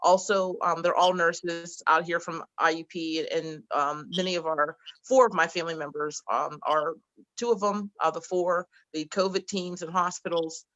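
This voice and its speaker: A woman's voice: lowish voice, steady tone, normal speed, fairly bright tone, no echo, poor audio. A woman hosting a panel discussion on a video call, chatty and encouraging, with frequent fillers. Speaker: host.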